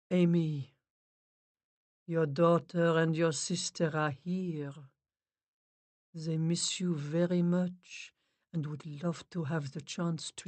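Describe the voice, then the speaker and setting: A woman voicing a sympathetic female spirit medium who speaks low, soft and soothingly in an audiobook. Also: no room sound.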